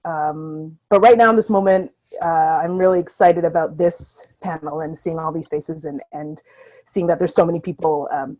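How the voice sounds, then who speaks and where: A woman's voice: low voice, darkish tone, low-quality recording. A woman on a panel talking informally and reflectively, often searching for her words. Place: online video call.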